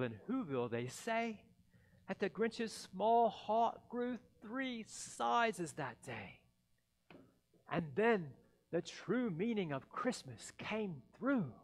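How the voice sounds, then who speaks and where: An American man in his thirties reading a children's story aloud theatrically, with deliberate dramatic pauses, into a headset mic. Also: high-pitched. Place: indoor church beside a Christmas tree.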